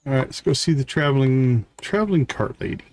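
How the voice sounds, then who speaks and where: A man's voice: medium pitch, expressive, a little fast, vocal fry, a little mellow. A man giving casual live gameplay commentary as a streamer, thinking aloud to himself in short fragments. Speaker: game streamer.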